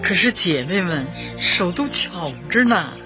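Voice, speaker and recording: Radio drama role: brisk older female party secretary, swooping intonation, chatty and upbeat, music clearly behind. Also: lowish voice, animated. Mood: cheerful, reminiscing. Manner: emphatic, chatty, instructive. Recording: dull audio with music behind. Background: background music.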